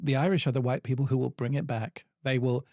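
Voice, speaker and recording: A man's voice: mid-range voice, fast, a little mellow, no room sound, clean studio sound. A man co-hosting a casual chat podcast, playful and teasing, swearing freely. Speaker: podcast co-host.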